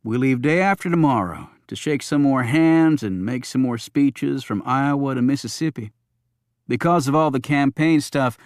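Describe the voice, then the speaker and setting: Man voicing a wry, wisecracking government agent, lively pitch. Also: dry recording, studio quality, close to an everyday voice. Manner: wry, joking, colloquial. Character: cheerful, wisecracking, weary male Secret Service agent.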